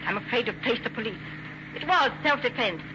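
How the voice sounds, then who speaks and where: A woman's voice: a little high, lively intonation, brisk, lightly acted. An actress playing a desperate, frightened American woman, pleading and melodramatic, in an old-time radio drama. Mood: grave, fearful. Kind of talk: 1940s radio drama acting. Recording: dull, noisy vintage radio recording.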